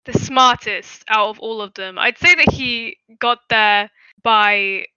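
Bright, stammering woman on a podcast. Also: fairly high pitch, slow pace, clear, resonant tone, no echo. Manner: stammering, hesitant, many fillers. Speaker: podcast co-host. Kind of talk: casual chat about a TV series. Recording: dull lo-fi dry recording.

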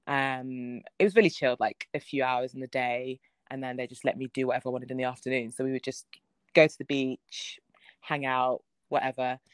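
A woman's voice: rumbling low, flat delivery, fairly quick, smooth, no echo. A young woman guest telling travel stories in casual chat, laughing often. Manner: laughs often, chatty storytelling.

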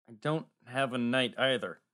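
A man's voice: medium pitch, sing-song, measured pace, fairly bright tone. A man voicing an earnest, unlucky young squire in a storybook, with a hesitant, glum manner. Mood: dismayed, hesitant. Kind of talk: audiobook character acting.

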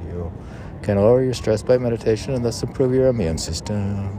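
A man reading his own poems aloud outdoors, line by line in a halting way, with casual asides. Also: on the low side. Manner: halting, spells out words, reads aphorisms line by line. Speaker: poet reading own work. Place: outdoors on a city museum lawn. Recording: outdoor recording with clear urban noise.

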